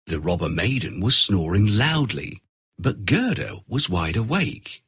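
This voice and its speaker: A man narrating a scripted children's story as an expressive storyteller. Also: fairly low pitch, measured pace, husky, dry recording, studio quality.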